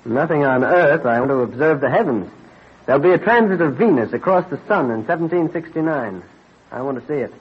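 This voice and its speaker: An actor playing an earnest, absorbed colonial astronomer husband in an old-time radio drama, explaining eagerly with mild hesitations. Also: male voice, on the high side, animated, a little fast, husky. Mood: earnest, gentle. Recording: dull vintage radio recording.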